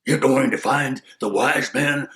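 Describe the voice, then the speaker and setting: A man voicing a sly, hungry male wolf in a folk tale, speaking in a low hushed whisper with mocking swoops. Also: measured pace, echoey room.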